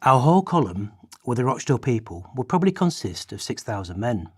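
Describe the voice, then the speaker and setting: A man playing an earnest radical orator and writer from history in a radio play, with deliberate, declamatory delivery. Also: mid-range voice, sing-song, slightly rough, no echo, studio quality. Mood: solemn recollection.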